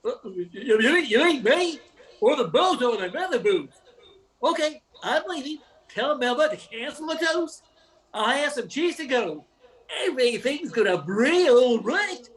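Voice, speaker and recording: A man's voice: very high-pitched, sing-song, unhurried, fairly bright tone, exaggerated voice, rough recording. An older man playing a cocky, joking diner customer in an amateur radio play, with a theatrical, insistent manner. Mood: jokey, clowning. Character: arrogant, nosy male diner customer and joking clown.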